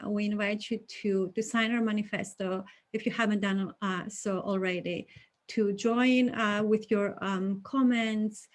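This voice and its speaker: A woman from Greece, presenting a manifesto on a video call with many fillers and measured emphasis. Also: mid-range voice. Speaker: coalition member presenting a manifesto. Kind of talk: online event presentation.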